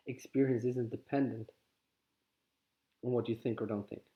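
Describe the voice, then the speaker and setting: Swedish-accented guy teaching slow and calm, lots of restarts, kinda dull lo-fi audio. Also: medium pitch. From Sweden. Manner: calm, halting, with frequent restarts. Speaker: spiritual teacher. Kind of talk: guided contemplative teaching.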